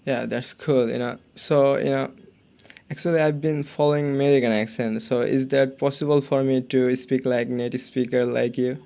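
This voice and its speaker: Gravelly man asking hesitant questions. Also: mid-range voice, level tone, normal speed, darkish tone. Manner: hesitant, short affirming replies. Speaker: interviewer. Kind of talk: interview questions.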